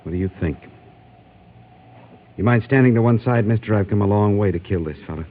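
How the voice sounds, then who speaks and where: A man's voice: lowish voice, fairly quick, phone-quality sound, natural voice. An actor playing a menacing graying gunman in a radio western, with an American accent and drawling, folksy threats. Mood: coldly menacing. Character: menacing slight graying Old West gunman bent on killing. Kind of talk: old-time radio western acting. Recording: thin, hissy vintage radio recording.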